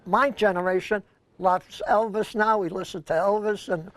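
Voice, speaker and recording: Senior male co-host, Brooklyn accent, higher-pitched voice, anecdotal and chatty, dry recording. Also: fairly even intonation, normal speed, dry recording. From Brooklyn, New York, USA. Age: older, senior. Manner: rambling, anecdotal storytelling. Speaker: co-host of a senior news show. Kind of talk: casual reminiscing chat.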